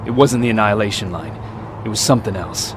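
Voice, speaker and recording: Guy playing serious army private in a game, lowish voice, matter-of-fact, engine noise behind. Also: expressive, quick pace, natural voice. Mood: subdued, serious. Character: earnest, steady young male resistance private. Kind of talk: video game voice acting.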